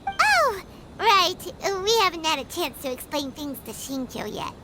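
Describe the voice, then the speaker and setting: Brief: a tiny chirpy fairy guide, very high and bouncy, game dialogue over loud background noise. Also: female voice, huge pitch swings, measured pace, heavily acted. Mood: curious. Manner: bubbly, speaks of herself in third person. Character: tiny floating female fairy companion, chirpy and excitable. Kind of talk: video game voice acting.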